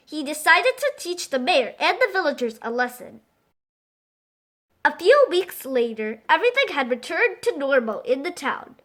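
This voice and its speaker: A woman reading a children's story aloud as an expressive, scripted narration. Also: sits high.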